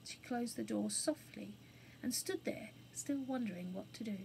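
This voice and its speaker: A woman storyteller giving an expressive narration of a children's story. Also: a little high, moderate pace, vocal fry, slight background noise, poor audio.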